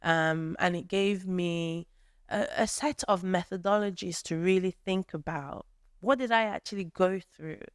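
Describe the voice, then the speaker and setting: Artist speaking at a workshop: woman, smooth, close and dry, musing with lots of "you know"s. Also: on the low side, no echo. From Nigeria. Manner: thoughtful, many fillers, acts out friends' voices. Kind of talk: reflective answer at a workshop.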